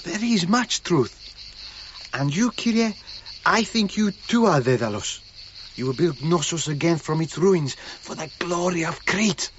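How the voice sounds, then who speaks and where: Animated Greek-accented Cretan foreman. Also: fairly high pitch, a little slow. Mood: earnest, passionate. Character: Cretan dig foreman, deferential yet passionate and poetic. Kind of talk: radio drama acting. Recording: dull lo-fi radio recording, faint noise.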